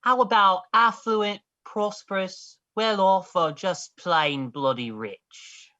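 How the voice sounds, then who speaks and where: A woman's voice: mid-range voice, takes it slowly, sharp tone, muffled recording. A woman playing a bitter, scheming disinherited male heir in a stage play reading, speaking formally and with insinuation. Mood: sly.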